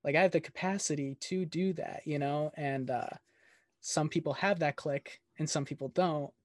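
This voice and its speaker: A man's voice: fairly high pitch, normal speed, no room sound, clean studio sound. A man hosting a casual interview, rambling and encouraging with plenty of filler words. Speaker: interviewer host.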